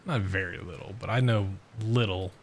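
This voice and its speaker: A man livestreaming a game, reacting casually and thinking aloud in a rambling, halting way. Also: low voice, slight background noise. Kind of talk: casual live reaction and chat.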